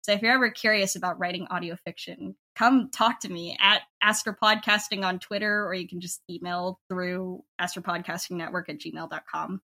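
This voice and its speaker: Bright-voiced, effusive woman chatting informally. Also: on the low side, steady tone, moderate pace, hardly any pauses. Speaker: podcast guest, audio drama creator. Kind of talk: casual interview chat.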